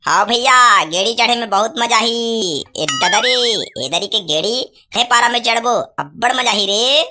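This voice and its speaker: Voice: medium pitch, lively intonation, moderate pace, lightly acted. Adult playing a cheeky Chhattisgarhi village boy, noisy audio. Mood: excited. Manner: impatient, argumentative. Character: cheeky, eager village boy, elder brother. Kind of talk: cartoon comedy acting.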